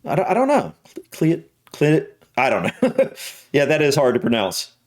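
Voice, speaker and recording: A man's voice: fairly high pitch, huge pitch swings, normal speed. A man with a Southern US accent hosting a reaction video, chatting casually and hesitantly, often restarting and repeating his words. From United States.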